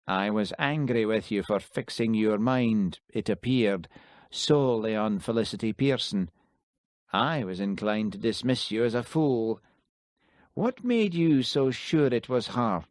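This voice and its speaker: Audiobook role: stern senior detective played by male reader, formal, demanding, lifted and animated pitch, dry studio sound. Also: no echo, lightly acted. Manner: formal, demanding, clipped questioning. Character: irritated, officious male senior police detective, stern and probing.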